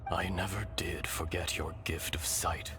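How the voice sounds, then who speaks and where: Ancient weary earth dragon, low and slow, solemn, over game score. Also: male voice, steady tone. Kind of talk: game cutscene voice acting. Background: game score music.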